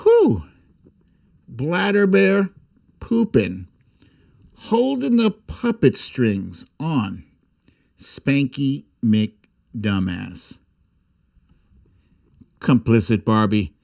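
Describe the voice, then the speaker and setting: A man hosting a comic political livestream, speaking with sarcastic, irreverent bite and theatrical, drawn-out emphasis. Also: medium pitch, big pitch swings, creaky, a little mellow. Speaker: host of a political humour livestream. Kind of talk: comic political commentary and mock storytime reading.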